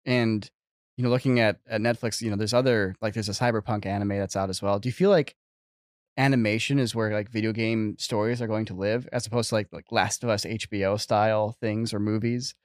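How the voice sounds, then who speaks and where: A man's voice: on the low side, fairly even intonation, fairly quick, dry recording, clean studio sound. A man hosting a casual conversational interview, musing aloud and asking curious questions. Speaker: podcast host.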